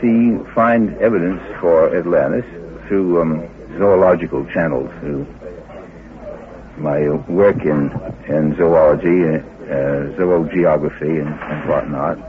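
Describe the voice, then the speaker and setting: Very deep-voiced older man, halting. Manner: halting, searching, learned wording. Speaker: interviewed scientist, zoologist. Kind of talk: informal recorded interview. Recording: narrow-band field tape, background chatter.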